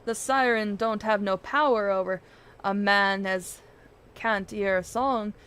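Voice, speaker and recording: A woman's voice: mid-range voice, fairly even intonation, unhurried, fairly bright tone, clean tone, lightly acted. A woman voicing a superstitious old sailor man in dramatic, piratical dialect, reading out game dialogue. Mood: grim, wry.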